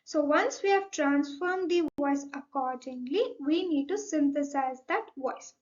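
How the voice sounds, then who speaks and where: A woman's voice: high voice, measured pace, darkish tone. A young woman with an Indian accent gives an explanatory slide presentation, methodically signposting each point. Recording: dull, lo-fi microphone sound.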